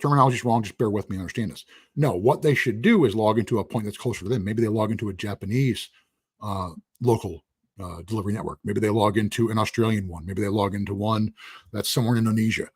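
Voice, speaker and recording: A husky man, losing his voice, teaching a casual live-streamed lecture in a rambling, conversational way with asides. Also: on the low side, fast talker, no room sound, studio quality. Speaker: instructor.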